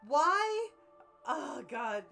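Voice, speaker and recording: A woman's voice: high voice, very animated, extremely slow, pushed and bright, soft background music. A woman in a casual, playful back-and-forth, swearing freely and riffing on odd phrases, sometimes reading aloud with mock drama. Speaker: co-reader and commentator on a comedy reading stream.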